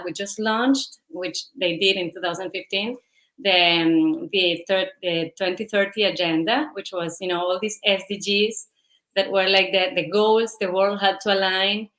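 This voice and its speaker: A woman's voice: medium pitch, a bit bright, rough recording. A woman, a company founder answering interview questions in a rambling, enthusiastic way. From Venezuela and Spain. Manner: rambling, enthusiastic, many fillers.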